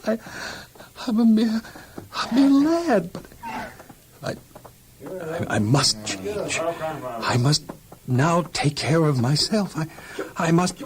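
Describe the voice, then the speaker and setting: A man playing an earnest, devout young slave lad with halting, emotional delivery in an audio Bible drama. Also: fairly high pitch, lively intonation, crowd noise. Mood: distraught, resolving.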